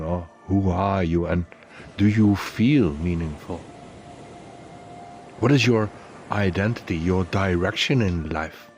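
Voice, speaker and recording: Slow, low-voiced man guiding meditation. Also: darkish tone. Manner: soothing, deliberate instruction with pauses. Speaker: meditation guide. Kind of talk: guided meditation narration.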